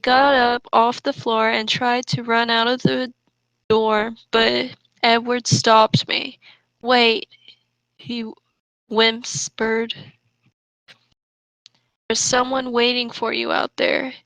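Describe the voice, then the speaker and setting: A woman with a bright, highish voice reads aloud for comedy. Her delivery is slow and deliberate, halting now and then for mocking asides. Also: flat delivery, dry recording, highs cut off. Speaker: co-host reading and mocking fan fiction. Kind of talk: casual comedic reading aloud.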